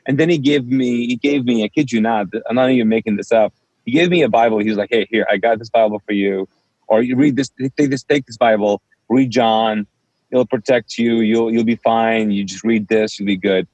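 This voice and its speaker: A man's voice: mid-range voice, a little fast, no room sound, poor audio. A man whose first language is Urdu, a pastor telling his story as a guest in a casual interview and acting out the dialogue. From Kuwait. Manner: animated storyteller, acts out quoted dialogue.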